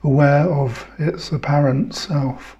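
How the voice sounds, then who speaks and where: A man guides a meditation with a very slow delivery and a soft-edged, mellow tone. He speaks in short, measured phrases separated by long pauses. The recording sounds slightly dull and muffled. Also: a little high, fairly even intonation, gravelly.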